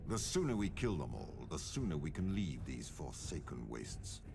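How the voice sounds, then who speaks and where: A menacing older warlord who commands ravens, his voice sunk extremely low and rough with gravel. The delivery is cold and measured, yet it swings expressively through its phrases. Game music plays behind him. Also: big pitch swings, exaggerated voice. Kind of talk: video game voice lines.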